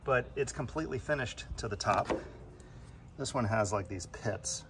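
A man talks plainly and a bit annoyed as he points out flaws in a product he holds up. He speaks at an ordinary middle pitch, filming on a phone out on a wooden deck. Clear outdoor noise runs under his voice. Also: normal speed. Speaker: product reviewer. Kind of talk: casual handheld product review. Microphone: phone camera in hand.

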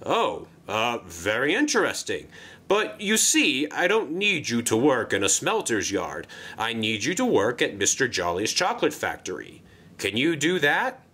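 A man voicing a pompous, fussy male railway controller who talks in a brisk, jolly and formal way. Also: mid-range voice, wildly swooping pitch, pushed and bright, breathy edge, exaggerated voice.

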